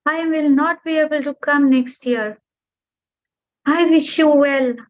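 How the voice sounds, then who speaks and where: A woman doing a tiny sweet storybook bird, very high sing-song pleading voice that sits far above her own, chatty and eager, on a dull lo-fi recording. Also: steady tone, slow pace. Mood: weary.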